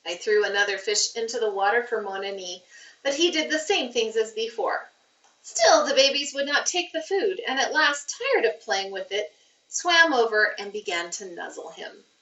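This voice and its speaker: A woman reading a children's novel aloud as a teacher would, with expressive, deliberate storytelling phrasing. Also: fairly high pitch. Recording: roomy, muffled, distant microphone.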